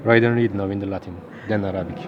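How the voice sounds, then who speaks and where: Brief: Turkish-accented man, thirties, lowish pitch, hesitant non-native English with restarts, interviewed outside amid crowd noise. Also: fairly even intonation, lo-fi sound. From Turkey. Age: 30s. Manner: halting, searching for words, frequent restarts. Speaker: linguist guest. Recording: outdoor crowd chatter behind.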